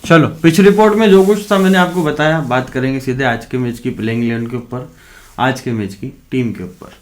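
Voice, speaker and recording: A man giving fantasy cricket tips in a loose, chatty vlog, his voice roughened by a sore throat and an occasional cough. He talks right at his viewers like friends, calling them brother and looping back with "okay" between points. His pitch sits at an ordinary middle level for a man. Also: brisk, darkish tone. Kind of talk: casual direct-to-camera vlog.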